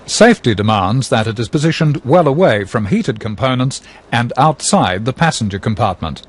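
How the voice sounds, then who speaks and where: Husky male narrator with lively intonation. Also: mid-range voice. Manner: measured, precise, explanatory. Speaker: narrator of an educational film. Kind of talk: scripted instructional narration.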